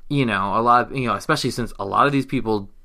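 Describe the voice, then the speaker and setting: Bright-voiced, jokey male podcast co-host. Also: mid-range voice, very fast, gravelly. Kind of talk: casual podcast chat.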